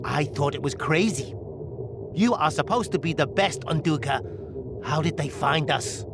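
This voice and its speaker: An actor playing a blunt, hot-tempered London gangster in a video game, with heavy noise behind him. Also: male voice, on the high side, normal speed, close to an everyday voice. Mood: tense.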